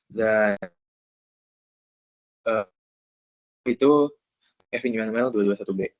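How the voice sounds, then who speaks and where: A man's voice: lowish voice, slow, no room sound, lo-fi sound. A young man from Jakarta, a student, introducing himself casually and a little hesitantly on an online call. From Jakarta, Indonesia. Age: young adult. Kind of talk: informal self-introduction on a group call.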